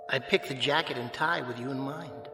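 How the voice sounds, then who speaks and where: A man's voice: medium pitch, moderate pace, over music, natural voice. A man performs a mocking alter ego for radio drama. He is polite, precise and sardonic, never ruffled. Long reverb surrounds the urbane voice. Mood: smooth, mocking.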